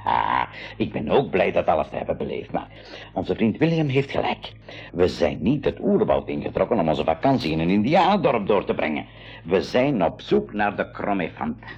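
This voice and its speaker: Deep, animated expedition-leading uncle. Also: male voice, moderate pace, breathy edge, dull audio, full character voice. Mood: decisive. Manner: exclamatory, avuncular, takes charge. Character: hearty uncle leading a jungle expedition, decisive, courteous. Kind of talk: 1980s school radio play acting.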